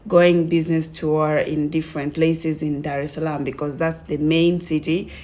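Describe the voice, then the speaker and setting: A young woman with a Tanzanian accent tells her own story casually, in an animated way, acting out conversations as she goes. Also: low-pitched, moderate pace. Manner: animated retelling, acts out quoted conversations.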